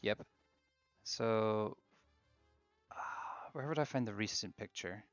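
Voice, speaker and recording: A man hosting a casual game stream, chatting in a relaxed way while fiddling with his setup. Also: lowish voice, slow pace, no echo, highs cut off, faint music behind. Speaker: streamer host.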